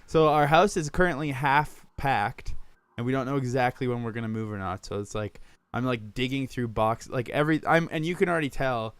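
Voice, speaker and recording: A man co-hosting a podcast in casual chat, raspy from a sore throat, joking at his own expense and laughing often. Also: mid-range voice, fairly quick, no echo. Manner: self-mocking, laughs often. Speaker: podcast co-host.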